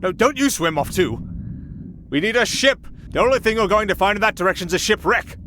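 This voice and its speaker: A man's voice: high voice, animated, a little fast. An actor playing a wry, melancholy castaway sailor and singer in an ASMR roleplay, hesitant and dryly sardonic in his chatty talk. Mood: cajoling, flustered. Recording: clearly audible ambient noise under voice.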